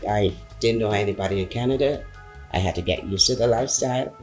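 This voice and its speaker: An older man from Barbados, telling his story in a measured, matter-of-fact way. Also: fairly low pitch, a little mellow, soft background music. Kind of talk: interview recollection.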